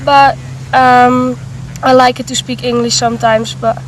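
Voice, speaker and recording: A woman's voice: a little high, flat delivery, unhurried. Young woman, hesitant and filler-heavy, recorded from afar by a busy street. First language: Dutch. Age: late teens. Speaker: student interviewee. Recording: distant mic, loud street noise.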